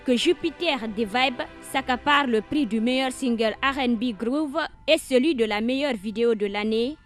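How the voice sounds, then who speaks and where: A woman reading a formal scripted introduction as narrator. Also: a little high. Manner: formal read-out.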